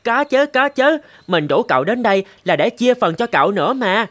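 A woman's voice: mid-range voice, animated, normal speed, close to an everyday voice. A woman voicing a kind, naive, friendly little crab in a children's cartoon, earnest and eager.

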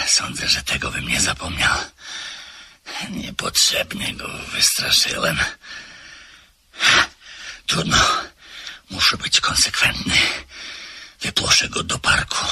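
An actor playing a haunted, frantic widower in a radio play, swinging from hushed whispers to shouts. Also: male voice, very deep voice, husky, heavily acted. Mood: scheming. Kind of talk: 1970s Polish radio play acting.

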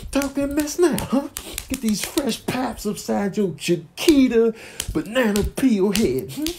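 Tough talking critter lady voicing, taunting, slangy, kinda high, noisy. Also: animated, measured pace. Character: indignant confrontational animal, taunting tough talker. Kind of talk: comedic animal voiceover.

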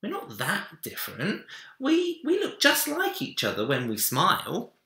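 A man voicing an eager, brave, affectionate little boy in a storybook, high-pitched and emphatic. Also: wildly swooping pitch, measured pace, vocal fry, bright, forceful tone, full character voice. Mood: eager, friendly. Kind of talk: children's storybook read-aloud. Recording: roomy echo.

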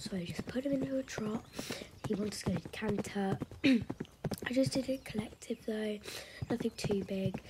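Very noisy audio with clicks carries a woman's soft, breathy voice. She talks slowly at an ordinary pitch, hesitating between short phrases as she narrates a casual vlog recap. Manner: halting, hesitant, trailing off. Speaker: vlogger narrating her own horse riding.

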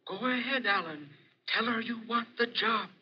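An actor playing an unseen spirit who gives eerie, insistent commands with drawn-out solemnity in 1940s horror radio style. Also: male voice, very high-pitched, breathy edge, exaggerated voice. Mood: commanding, urgent. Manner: slow, drawn-out, solemn urging. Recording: dull vintage 1940s radio recording.

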